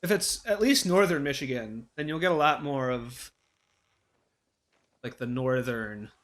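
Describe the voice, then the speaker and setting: A man's voice: mid-range voice, lively intonation, unhurried, fairly bright tone. A young man, chatting casually while playing a video game, hesitant and full of restarts. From Ohio, USA. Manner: rambling, hesitant, many fillers and restarts. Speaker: co-host. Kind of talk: casual gameplay chat.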